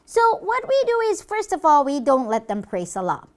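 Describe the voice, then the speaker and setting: Woman playing a gleeful puppet devil with swooping high voice. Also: huge pitch swings. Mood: sly, gleeful. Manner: gloating, theatrical drawn-out emphasis. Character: gleeful, boastful devil who leads people astray. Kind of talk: children's puppet show.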